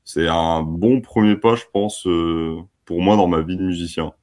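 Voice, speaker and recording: A man's voice: low-pitched, steady tone, unhurried, darkish tone. A man in his twenties from France, a band member chatting casually and laughing easily in an interview. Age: 20s. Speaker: band musician.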